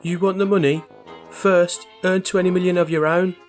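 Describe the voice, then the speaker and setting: A man doing a sneering, spiteful old uncle, pitched up. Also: flat delivery, moderate pace, faint music behind, lightly acted. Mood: demanding. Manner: sneering, taunting, forceful. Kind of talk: short story character reading.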